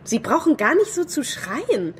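A woman's voice: sits high, big pitch swings, a little slow. An actress in a radio play voicing a prim, touchy, unstable young woman with childlike insistence. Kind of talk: German radio drama acting. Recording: background street traffic noise.

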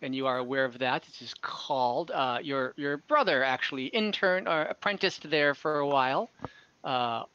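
A game master improvising the narration of a tabletop game over a video call, halting to choose words along the way. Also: medium pitch, fairly bright tone, clean tone, muffled recording.

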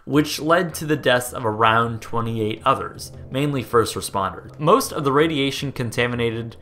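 A young American man hosting a casual documentary video, narrating over footage in a jokey, excitable way that stumbles over place names. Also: medium pitch, moderate pace. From United States.

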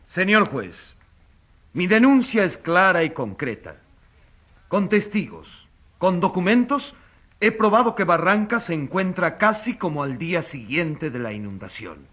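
Indignant high-pitched crusading accuser. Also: male voice, sing-song, unhurried, a bit bright, heavily acted. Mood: indignant, accusing. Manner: emphatic, declamatory, insistent. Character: indignant crusading male newspaper editor accusing a governor. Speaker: accuser in a courtroom radio drama. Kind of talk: theatrical radio drama oratory.

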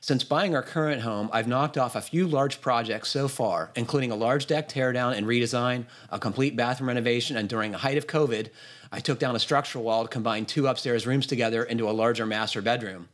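Guy hosting a podcast over video call, mid pitched voice, chatty, sometimes reading stuff out. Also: a little fast, fairly bright tone. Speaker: podcast host. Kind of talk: casual chat and reading a listener email aloud. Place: indoor room on a video call.

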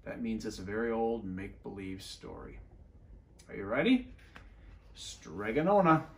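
Male storyteller, 50s, expressive read-aloud for young kids, slow pace, animated intonation, explains as he goes. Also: on the low side, creaky. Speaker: school superintendent reading a storybook. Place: living room armchair by a fireplace, indoors.

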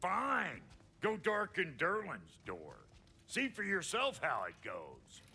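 A man playing a cranky, blunt dwarf blacksmith in a video game, gravelly and sardonic. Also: high voice, wildly swooping pitch, slow pace, sharp tone, exaggerated voice. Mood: grudging. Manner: folksy, sardonic.

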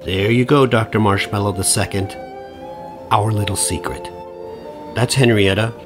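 An actor playing a middle-aged divorcing suburban dad in a podcast drama, profane, sardonic and rambling. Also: male voice, lowish voice, fairly even intonation, normal speed, faint music behind, close to an everyday voice. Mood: wistful, rueful. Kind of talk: podcast audio drama acting.

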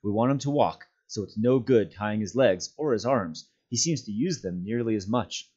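A man voicing a stern, commanding hobbit master in a storybook, speaking in a firm, measured, formal way with some pity. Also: fairly low pitch, expressive, mellow, husky, dull audio. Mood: practical. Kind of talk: storybook character voice.

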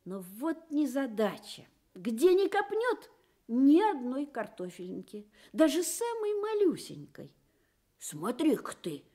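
A woman narrating a children's radio story in an expressive, measured storytelling manner. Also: sits high.

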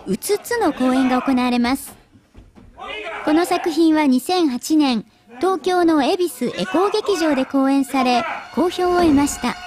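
A middle-aged woman presenting scripted news in a polite, clearly articulated way. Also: high voice, a little slow. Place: TV studio.

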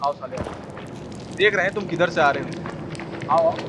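A man's voice: fairly high pitch, a little slow. A man from India with a Bihari accent gives casual, colloquial live gameplay commentary peppered with swearing. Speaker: game streamer. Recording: clearly noisy background.

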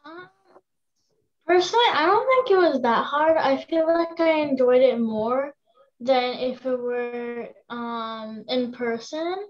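A woman's voice: high-pitched, animated, slow. A young woman from Long Island giving casual answers as an actor on a video-call panel. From Long Island, United States. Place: indoor room on a video call. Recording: dull lo-fi video call audio.

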